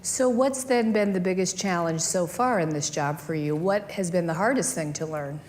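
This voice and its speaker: A woman's voice: fairly low pitch, normal speed, darkish tone. Chatty woman interviewer on a panel.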